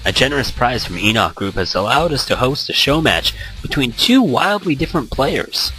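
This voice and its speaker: A man voicing a peppy, excitable esports match commentator for a visual novel game. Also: medium pitch, normal speed, soft background music, pitched above the performer's own voice, rough recording. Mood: excited, chirpy.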